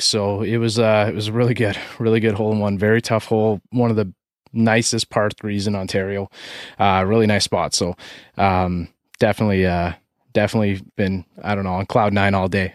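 Low-voiced Canadian host, dry sound. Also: male voice, no echo. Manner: relaxed, many fillers, upbeat. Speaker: podcast host. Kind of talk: casual interview chat.

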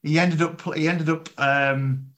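A man's voice: on the high side, fairly even intonation, a little slow, a bit bright. A man interviewing a guest in a casual, chatty and agreeable conversational way.

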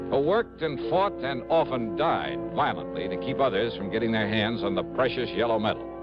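An American actor playing a brisk, wry insurance investigator in a 1950s radio detective drama, speaking in a crisp, conversational way. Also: male voice, mid-range voice, natural voice. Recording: muffled old radio broadcast, music behind.

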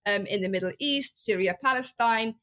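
Woman, Dutch accent, from Belgium, bright tone, casual guest talk, filler-heavy. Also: fairly high pitch, moderate pace. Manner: chatty, many ums, laughs easily. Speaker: guest archaeologist. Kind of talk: casual interview chat.

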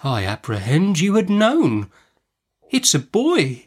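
Storybook doctor, played by a man: timid, deferential, flustered, speaking gently and very slowly in a lifted voice. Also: on the high side, wildly swooping pitch, breathy edge, voice pushed up, heavily acted. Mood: apologetic. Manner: soft, hesitant, drawn-out deferential delivery. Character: meek, mild, timid male doctor, easily flustered.

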